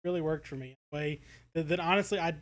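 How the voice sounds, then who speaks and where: A man co-hosting a podcast in a casual review chat, thinking aloud in halting phrases peppered with "like". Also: on the high side, fairly bright tone. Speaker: podcast co-host.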